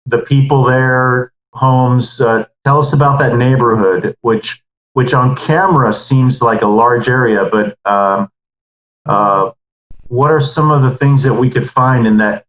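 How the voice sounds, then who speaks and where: Man hosting a webinar, mid-pitched, lots of ums and uhs; echoey room on a video call. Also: steady tone, a little slow, fairly bright tone. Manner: many ums and uhs, loose phrasing. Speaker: webinar host. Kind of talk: moderating a webinar.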